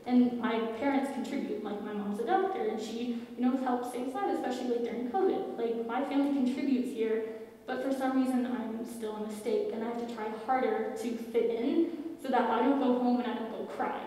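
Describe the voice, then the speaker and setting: A woman's voice: on the high side, warm tone. Earnest teenage girl in an echoey hall. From Tennessee, USA. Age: teens. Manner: earnest, heartfelt, halting with fillers. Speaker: student panelist. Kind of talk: personal testimony on a panel. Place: large school hall. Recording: echoey hall, far from the mic.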